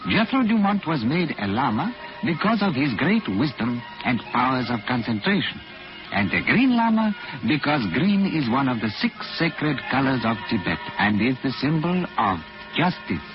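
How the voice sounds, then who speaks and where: An actor playing a devoted Tibetan manservant who tells the story in formal, measured 1940s radio style. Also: male voice, medium pitch, very animated, close to an everyday voice. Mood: solemn, storytelling. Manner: formal, measured, proverb-quoting. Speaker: narrator and sidekick. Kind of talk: 1940s radio drama acting and narration. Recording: dull vintage 1940s radio, music behind.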